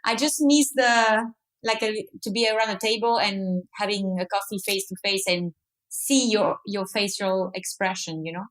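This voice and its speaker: A woman from France with a mixed French accent, talking expressively in halting non-native English as an interview guest. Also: mid-range voice, fairly even intonation, a bit bright, poor audio. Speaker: podcast guest, movement artist. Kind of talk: casual conversational interview.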